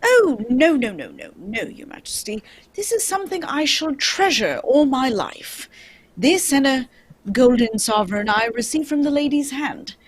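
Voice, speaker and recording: Woman playing a high, theatrical, husky detective. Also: very animated, unhurried, full character voice. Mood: deferential. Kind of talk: amateur old-time radio drama reading.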